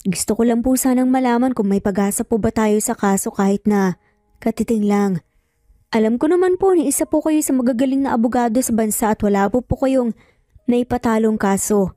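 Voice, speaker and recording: A woman voicing a worried female client who speaks calmly, hesitantly and with deference in a dramatic story. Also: on the high side, fairly quick, no room sound, clean studio sound, natural voice. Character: worried woman client, polite, calm and doubtful.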